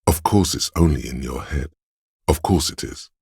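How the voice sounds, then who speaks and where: An actor voicing a man's brooding, tactile inner voice in a game, narrating with husky gravel in a deliberate, dramatic, literary manner. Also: very deep voice, a little mellow, no room sound, studio quality, full character voice. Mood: hushed, wondering.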